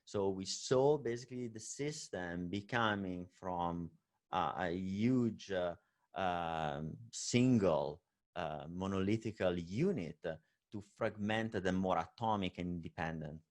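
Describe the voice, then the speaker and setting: Deep-voiced Italian man giving a tech talk. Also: slow pace, a little mellow. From Italy. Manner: many fillers and hesitations, frequent "right?" tag. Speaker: conference speaker. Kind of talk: conference talk presentation.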